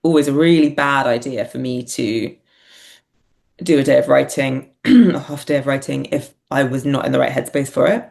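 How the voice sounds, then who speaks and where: A woman's voice: extremely low pitch, moderate pace, vocal fry, a little mellow. A woman talking casually and reflectively as an interview guest, hesitant and self-deprecating as she thinks aloud. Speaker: podcast guest, writer and performer.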